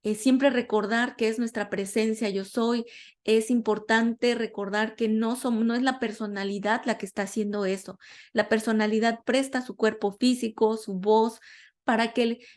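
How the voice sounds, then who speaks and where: A Latin American woman teaching a metaphysics class in a conversational way, often pausing to search for words and correct herself. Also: a little high, steady tone, a little mellow. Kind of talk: informal spiritual class talk.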